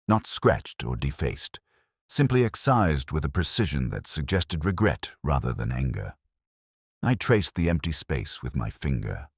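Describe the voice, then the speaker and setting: Very deep, husky male narrator. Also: no echo, clean studio sound. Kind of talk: scripted first-person detective story narration.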